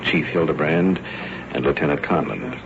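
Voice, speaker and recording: Low voiced american guy doing live 50s radio commentary, muffled old tape. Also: animated, a little slow, gravelly, background noise. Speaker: radio host and reporter riding along with police. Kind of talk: 1950s radio documentary, on-the-scene commentary. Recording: dull, muffled 1950s radio field recording.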